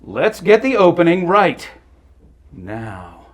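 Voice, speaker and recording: A man's voice: a little high, huge pitch swings, slow pace, exaggerated voice. A man who works as a voice actor, putting on a parade of bold theatrical characters in a demonstration. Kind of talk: voice acting demonstration.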